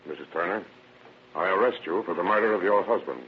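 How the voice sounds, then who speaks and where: Casting: male actor for businesslike American sheriff, deep, guarded, matter-of-fact, noisy old radio drama sound. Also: fairly quick, close to an everyday voice. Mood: firm, guarded. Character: firm, businesslike small-town sheriff making an arrest. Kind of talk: old-time radio crime drama acting. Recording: tinny, noisy vintage radio recording.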